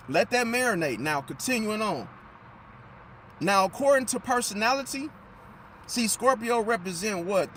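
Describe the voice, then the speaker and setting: A man, slightly hoarse and losing his voice, lecturing viewers in a casual, emphatic way, repeating himself and firing off rhetorical questions. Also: high-pitched, fairly bright tone, a little hiss or hum. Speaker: astrology commentator. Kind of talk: casual talking monologue to viewers.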